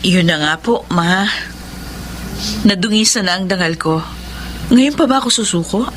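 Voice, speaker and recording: A woman's voice: mid-range voice, expressive, normal speed, a bit bright, slight background noise, lightly acted. An actress playing a steadfast young woman in love in a Filipino radio drama, earnest and resolute.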